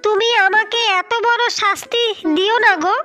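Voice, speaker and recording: A woman's voice: very high-pitched, steady tone, normal speed, sharp tone. A woman voicing a scheming, defensive village stepmother in a cartoon fairy tale, insistent and repetitive as she protests. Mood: pleading. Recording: music under the voice.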